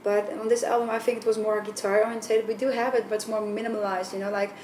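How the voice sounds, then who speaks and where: A woman's voice: medium pitch, flat delivery, fast talker, a little mellow. A woman from the Netherlands with a Dutch accent and a light voice, chatting loosely in an interview with lots of fillers and restarts. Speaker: band vocalist. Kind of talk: casual interview answers. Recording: roomy, far from mic, faint noise.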